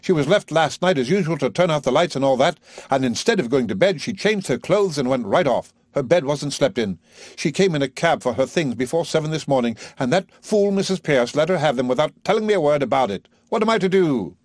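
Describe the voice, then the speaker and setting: A man's voice: fairly high pitch, lively intonation, a little fast, no echo, clean studio sound, voice pushed up. A man voicing an irascible, blustering male professor who erupts into indignant outbursts.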